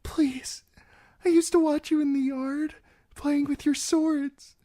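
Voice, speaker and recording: A woman voicing a frightened young bride who pleads in a high, trembling, breathy voice. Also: flat delivery, measured pace, dark tone. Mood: desperate.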